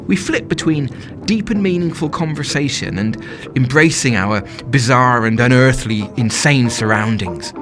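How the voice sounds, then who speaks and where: A man's voice: medium pitch, natural voice. An actor playing a smitten, self-doubting young festival-goer, telling his story as a confiding, wry dramatic monologue. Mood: tender, wistful.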